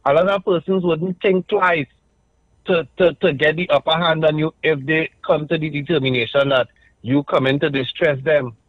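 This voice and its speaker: Man, trinidadian accent, radio caller, measured argument, muffled dry line. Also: a little high, no echo. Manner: measured, argues point by point with frequent "right?". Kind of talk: call-in radio talk.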